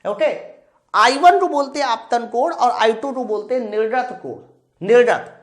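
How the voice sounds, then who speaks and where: A man's voice: very high-pitched, expressive, normal speed. A man teaching an exam-prep physics class, working through questions aloud, emphatic and repetitive, often putting questions to his students. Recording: roomy, echoing sound.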